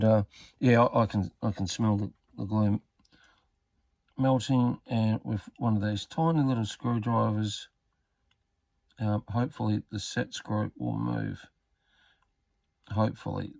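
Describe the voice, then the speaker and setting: An Australian man giving a casual hands-on repair tutorial in a halting, laconic, matter-of-fact way. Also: lowish voice, gravelly, darkish tone, dry recording. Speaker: radio repair hobbyist presenter.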